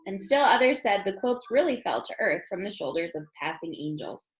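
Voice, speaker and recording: A woman's voice: medium pitch, very animated, brisk. Echoey woman reading a children's story aloud. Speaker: storybook reader.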